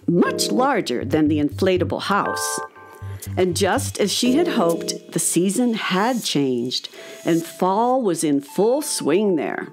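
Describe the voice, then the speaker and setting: A woman narrating a scripted children's story in an expressive, carefully phrased storytelling manner. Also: medium pitch.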